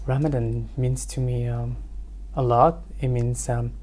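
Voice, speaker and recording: A young man from France answering interview questions hesitantly, with many pauses and self-corrections. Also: medium pitch, level tone, a little mellow. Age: young adult. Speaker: guest.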